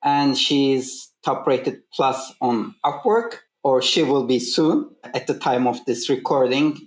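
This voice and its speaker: Brief: male podcast host, mid-pitched, measured questions, roomy sound. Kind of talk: hosting an interview. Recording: echoey room.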